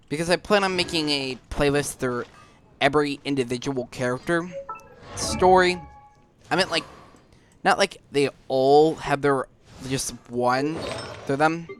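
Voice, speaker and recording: A man giving casual gameplay commentary, thinking aloud in an offhand, halting way. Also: on the high side. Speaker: gaming video host.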